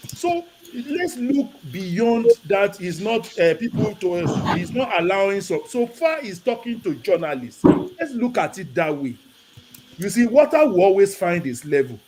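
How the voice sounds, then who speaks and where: A high-pitched man from Nigeria calls in to a talk show. He tells his story in an animated way and drops Yoruba phrases into it. A faint hiss sits behind his voice. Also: measured pace. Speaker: caller. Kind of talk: casual call-in chat. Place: lives in the UK.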